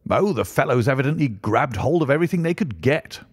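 A man voicing a hearty, unflappable country colonel with bluff, old-fashioned gentlemanly talk in an audiobook story. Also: medium pitch, lively intonation, a little fast, slightly rough, dry recording, clean studio sound, pitched above the performer's own voice. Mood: breezy, dismissive. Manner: bluff, old-fashioned upper-class phrasing.

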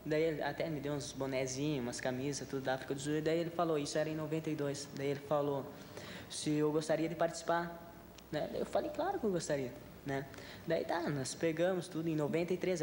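A man's voice: a little high, quick pace, darkish tone. A young man from southern Brazil with a Rio Grande do Sul accent, a talk-show guest who answers eagerly and repeats himself. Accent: Rio Grande do Sul Portuguese. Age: young adult. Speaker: guest performer. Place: large TV studio with audience. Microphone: desk microphone. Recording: studio hall reverb, some background noise.

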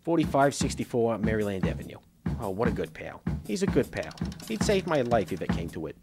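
A man's voice: lowish voice, sing-song, brisk, gravelly, loud music behind the voice. An actor playing a hapless, goofy, eager-to-please policeman in a noir audio drama, needy and wisecracking. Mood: needy, grateful.

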